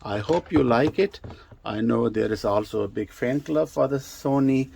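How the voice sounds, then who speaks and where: A man giving a casual hands-on product demonstration as a review presenter, halting and thinking aloud as he goes. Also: medium pitch, gravelly, darkish tone, husky. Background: shortwave radio sounds.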